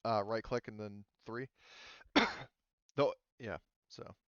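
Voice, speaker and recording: A man running a tabletop roleplaying game, talking casually through the rules and thinking aloud in a halting way. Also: lowish voice, fairly even intonation, fairly bright tone, highs cut off, a little hiss or hum. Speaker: game master.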